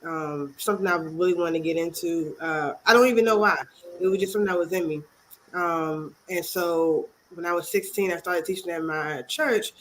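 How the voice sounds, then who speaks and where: A congested woman from Maryland, speaking as a guest author and youth advocate in an interview, earnest and halting with many pauses. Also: lowish voice, steady tone, slight background noise, rough recording. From Maryland, USA. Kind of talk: interview talk.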